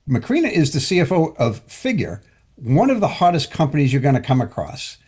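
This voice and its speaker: A man's voice: medium pitch, a little mellow, breathy edge. A man hosting an interview podcast for finance executives, talking in a chatty way that often stumbles and restarts. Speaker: podcast host.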